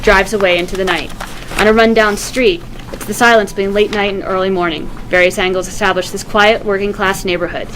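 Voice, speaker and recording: Lady reading stage directions at a table read, middle pitch, bit of room noise. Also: steady tone, brisk. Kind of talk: scripted narration at a table read.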